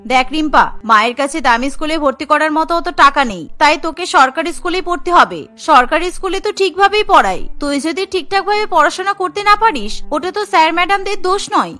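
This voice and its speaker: A woman's voice: very high voice, expressive, a little fast, hardly any pauses, sharp tone, soft background music, full character voice. A woman voicing a weary, scolding but indulgent village mother in a cartoon, chiding and placating. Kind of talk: cartoon story character acting.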